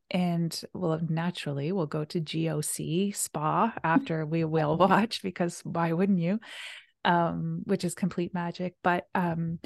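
A woman hosting a casual conversation, chatty and enthusiastic, sometimes dropping to a soft near-whisper. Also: fairly low pitch, vocal fry, dry recording, studio quality. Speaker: retreat host.

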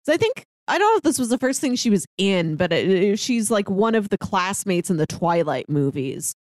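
A woman's voice: mid-range voice, very animated, brisk, dry recording. A young woman co-hosting a podcast, talking about a film in a casual, conversational way peppered with filler words. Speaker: podcast co-host. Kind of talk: casual movie discussion.

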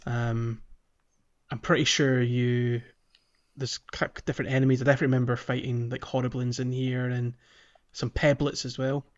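A man's voice: medium pitch, flat delivery, normal speed, muffled recording. A man co-hosting a casual video game podcast, talking in a hesitant way as he searches for his words. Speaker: podcast co-host.

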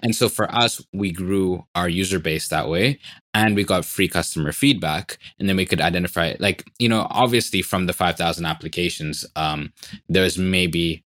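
A man in his thirties from Tanzania, a startup founder talking quickly and eagerly in an interview, full of "like" and "you know". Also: low-pitched, fairly bright tone, dry recording, clean studio sound. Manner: fast, filler-heavy, enthusiastic. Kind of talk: podcast interview.

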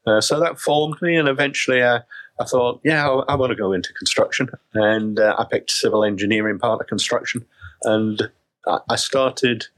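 A middle-aged man from South Wales with a faint, mostly neutral Welsh accent, speaking as a chief executive in a reflective interview, measured and full of restarts. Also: mid-range voice, a bit bright, low-quality recording. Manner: measured, with frequent restarts and fillers.

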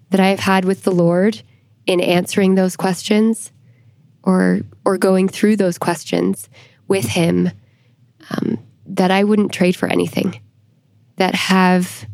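A woman answering as an expert guest in an interview, giving a reflective personal account in a measured way with careful pauses. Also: medium pitch, a little mellow, dry recording. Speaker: guest church historian.

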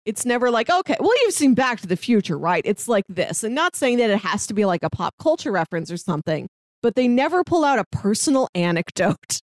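A woman co-hosting a podcast, casually discussing a TV series in an animated, opinionated way. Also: on the high side, a little fast, no room sound, studio quality. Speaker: podcast co-host.

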